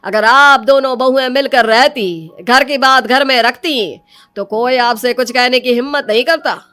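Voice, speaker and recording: A woman voicing a polite, wise priest who gently admonishes in a storybook tale. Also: high-pitched, a little slow, smooth, soft background music. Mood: calming advice.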